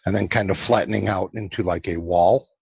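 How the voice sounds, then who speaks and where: A man leading a guided meditation as host, giving slow, soothing instructions with long pauses and a husky voice. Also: low-pitched, fairly even intonation, a little mellow. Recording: dull, lo-fi, roomy sound.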